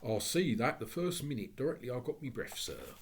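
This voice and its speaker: A man's voice: mid-range voice, very animated, a little fast, vocal fry, husky, pitched above the performer's own voice, heavily acted. A man voicing a deferential working-class office porter who talks respectfully in plain, ungrammatical speech.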